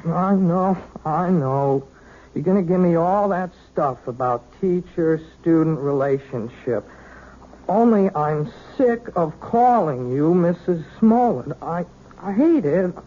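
A young actor in his twenties playing an earnest, lovesick male piano student in a 1970s radio drama, halting and stammering. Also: on the high side, animated, slightly rough, heavily acted. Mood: pleading. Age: 20s. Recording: tinny lo-fi old radio broadcast.

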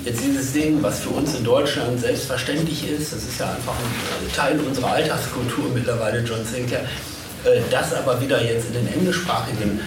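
A man's voice: mid-range voice, moderate pace. A man hosting a live panel interview, speaking in wordy, reflective phrases. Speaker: podcast host and interviewer. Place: echoey convention room. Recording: echoey room, distant, noisy.

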